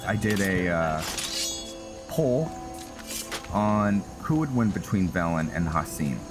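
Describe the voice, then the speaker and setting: A man giving unscripted game commentary, thinking aloud in a halting way. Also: low voice, expressive. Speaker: gaming video host, player. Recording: game music behind voice. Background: game music.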